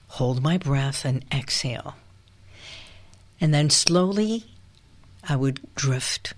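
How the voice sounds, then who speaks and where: A middle-aged woman from Iran hosting a radio show, talking in a soft, meditative way with sighs and breathy pauses. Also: rumbling low. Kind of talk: reflective solo radio talk.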